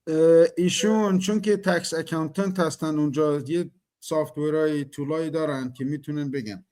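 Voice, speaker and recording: A man whose first language is Persian, hosting an interview and translating between guests in a quick, halting way with many fillers. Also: on the high side, fairly even intonation, dark tone. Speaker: host and interpreter.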